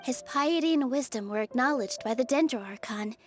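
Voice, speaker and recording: Game role, female actor: sweet-natured young woman, high voice, earnest and slightly hesitant, light music behind. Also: expressive, a little fast, natural voice. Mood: curious, storytelling. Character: gentle, earnest, wide-eyed young woman, a festival dancer. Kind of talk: video game voice acting.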